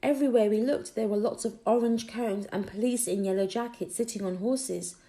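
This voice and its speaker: A woman narrating a children's audiobook expressively, with deliberate storytelling phrasing. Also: medium pitch, mellow. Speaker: audiobook reader.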